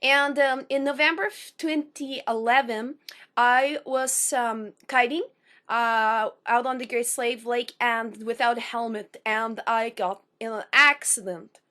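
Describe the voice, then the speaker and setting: A woman in her thirties performs a spoken word poem in a high, bright, sharp-edged voice. Her speech sounds slightly unusual, sometimes taken for a foreign accent, and she phrases slowly and carefully, pausing between lines. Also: fairly even intonation. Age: 30s. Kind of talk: personal intro, then spoken word poem.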